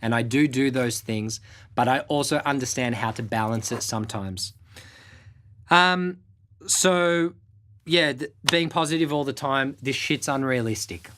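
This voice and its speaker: A man's voice: mid-range voice, unhurried, fairly bright tone, a little hiss or hum. A young man who hosts his own show as a music producer, giving candid motivational advice to camera in a laid-back way, with casual swearing and lots of fillers.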